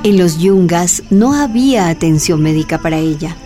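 A woman with a slightly low voice narrates a radio drama from a script. Faint music plays behind her. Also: animated, moderate pace, dark tone.

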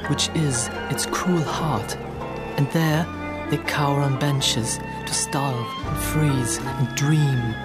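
An actor playing a reserved, polite gentleman writer in a radio drama, measured and restrained. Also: medium pitch, fairly even intonation, loud music behind the voice, natural voice. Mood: somber, observing. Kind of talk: radio drama, literary narration and dialogue.

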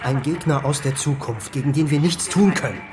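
An actor playing a cool, authoritative official who guards a dangerous secret, measured in a radio drama. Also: male voice, on the high side, natural voice. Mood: grave warning. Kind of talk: German radio drama acting. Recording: music behind the voice.

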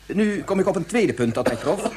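An actor playing an earnest scientist in a science fiction radio drama, arguing in a formal, deliberate way. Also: male voice, high voice, sing-song, background noise. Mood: matter-of-fact. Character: earnest male scientist and father, insistent, methodical.

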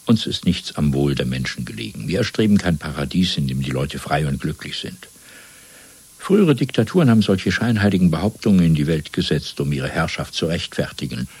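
A man playing a cold, fanatical party interrogator in a German radio drama, lecturing deliberately and domineeringly with a husky, breathy voice. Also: rumbling low, sing-song, no echo, exaggerated voice. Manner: didactic, deliberate, swelling from hushed to emphatic.

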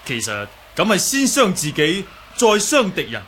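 Radio drama casting: humble young Cantonese martial artist and healer, lightly high-pitched, measured courtesy. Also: male voice, huge pitch swings, pushed and bright, a little hiss or hum, heavily acted. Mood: calm, diagnostic. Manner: polite, humble, explanatory. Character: calm, learned young martial artist with healing knowledge.

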